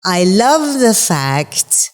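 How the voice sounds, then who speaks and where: A woman from Bahrain whose first language is Farsi, speaking as an interview guest in a reflective, halting way with many fillers. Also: medium pitch, very animated, in a large hall. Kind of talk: conversational interview.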